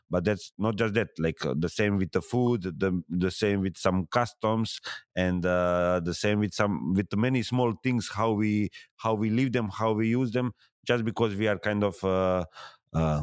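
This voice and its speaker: A man in his thirties with a Serbian accent, speaking as a podcast guest. His voice is low and smooth, recorded very dry and close, and he keeps pausing on fillers and restarting his phrases. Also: no echo. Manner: halting, many fillers and restarts. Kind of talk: podcast interview.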